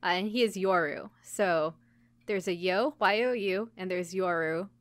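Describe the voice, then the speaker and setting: A woman's voice: fairly low pitch, measured pace, dry recording. A woman co-hosting a podcast in casual chat, explaining things in a giggly way. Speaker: podcast co-host. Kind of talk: casual chat about idol anime.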